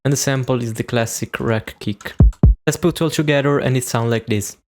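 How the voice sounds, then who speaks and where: A man's voice: mid-range voice, moderate pace. A young man with an Italian accent, casually explaining how a beat is made in a music production tutorial. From Italy. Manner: casual, self-deprecating, explanatory. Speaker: beatmaker YouTuber.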